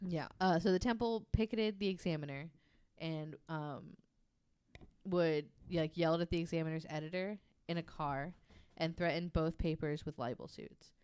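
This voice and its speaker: A woman hosting a podcast, casually narrating a researched story from notes in an informal, halting way. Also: sits low, darkish tone, smooth, dry recording.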